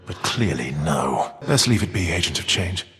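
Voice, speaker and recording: A proud bitter titan for a video game, kinda deep, grave old-fashioned wording, big echoey reverb. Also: male voice, sing-song, fairly bright tone. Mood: disdainful, measured. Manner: formal, measured, grave archaic diction. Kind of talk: scripted video game character voice lines.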